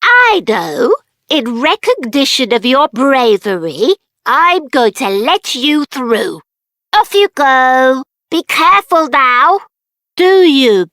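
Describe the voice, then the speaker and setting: A woman voicing a plucky, boastful little gatekeeper in a video game, cocky and playful with a deliberate delivery. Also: high voice, a bit bright, no room sound, clean studio sound, full character voice. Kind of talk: video game character acting.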